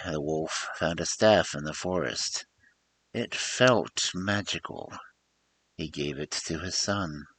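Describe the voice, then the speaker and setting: A man's voice: rumbling low, husky. A man reading a story aloud as a storyteller, in a theatrical, emphatic storybook manner. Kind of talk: dramatic scripted story narration.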